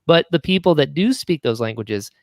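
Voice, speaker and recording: A man's voice: fairly high pitch, animated, moderate pace, a little mellow, no echo, clean studio sound. A man, a guest on a podcast, chatting casually and enthusiastically about producing, often acting out bits of past conversations. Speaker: audio drama writer and producer, podcast guest. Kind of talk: casual podcast chat, animated storytelling.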